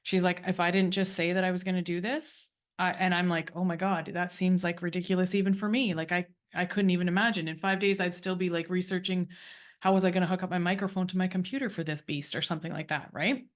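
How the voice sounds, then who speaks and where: A woman hosting a group coaching call, talking in a bubbly, quick, talkative way. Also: on the low side, fairly even intonation. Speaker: course host.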